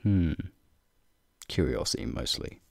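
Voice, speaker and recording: A man playing a flirty, teasing werewolf guide in an ASMR roleplay, talking softly and playfully to the listener in a gravelly, breathy voice. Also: expressive, unhurried, no echo, studio quality, full character voice. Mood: playful, casual. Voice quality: deep, gravelly, breathy low voice. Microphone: close microphone.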